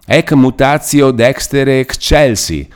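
A man reading a classic novel aloud, voicing an awestruck, flustered chaplain making an excited announcement. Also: medium pitch, takes it slowly, smooth, pitched above the performer's own voice, natural voice.

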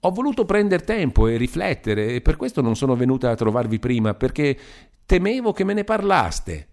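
A man reading aloud and voicing a lively, chattering young widow with a bright, teasing manner. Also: mid-range voice, brisk, pitched above the performer's own voice. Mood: coy.

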